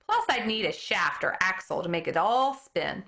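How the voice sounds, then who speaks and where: A woman, an elementary school teacher, reading a children's book aloud with expressive storytelling, stumbling over hard names and commenting on them. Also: mid-range voice, normal speed, gravelly.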